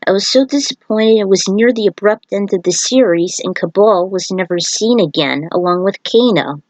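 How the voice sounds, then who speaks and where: A woman's voice: mid-range voice, moderate pace, dry recording, poor audio. A woman narrating as a fan fiction writer, with informal, chatty asides. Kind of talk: reading own story, then casual commentary.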